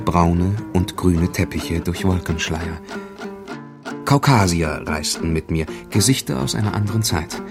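Man, low voice, literary recitation, travel prose, plucked guitar behind. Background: plucked guitar music.

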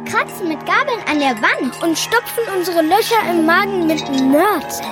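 Piercingly high bratty child. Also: female voice, animated, normal speed, loud music behind the voice. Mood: bratty, demanding. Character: wild, greedy bratty little child. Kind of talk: stylised radio drama chorus.

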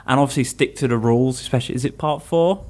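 Young man, from England, English teacher, casual chat, mid pitch, frequent laughs. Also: quick pace, darkish tone. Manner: chatty, laughs easily, lots of restarts.

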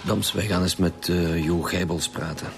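A man's voice: deep voice, steady tone, moderate pace, creaky. A man playing a terse, commanding chief inspector in a radio drama, with a Flemish accent and a businesslike manner. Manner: curt, businesslike.

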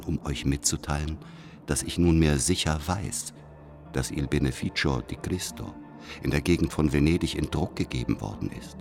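An actor playing a coolly calculating spy in a radio drama, reading his reports in a formal, measured, deferential manner. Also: a bass voice. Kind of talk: radio drama letter narration.

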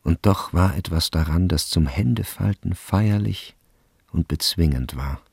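A man narrating a literary radio drama in a measured, grave and deliberate way. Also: deep voice, gravelly, a little mellow, slightly rough.

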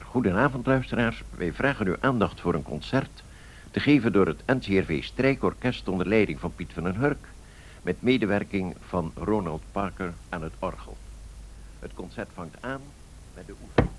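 An actor playing a stately, courteous male continuity announcer of 1930s radio, solemn and formal, with a husky voice. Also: low voice, expressive, moderate pace, slight background noise.

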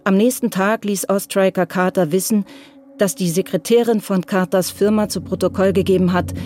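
A woman giving a sober, measured scripted narration for a crime radio drama. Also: fairly low pitch, dark tone, slight background noise. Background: music.

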